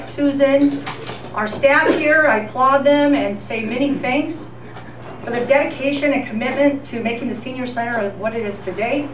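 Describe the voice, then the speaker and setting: High-voiced woman in an echoey crowded hall. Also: recorded from a distance. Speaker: senior center director giving thanks. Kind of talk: informal thank-you speech at a community event. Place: large indoor room with an audience, voice from far off.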